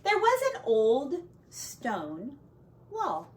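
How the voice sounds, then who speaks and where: Expressive, slow-paced woman reading a storybook. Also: sits high, far from the mic, very noisy. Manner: expressive, deliberate phrasing for children. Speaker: teacher. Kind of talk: storybook read-aloud with casual asides on pictures.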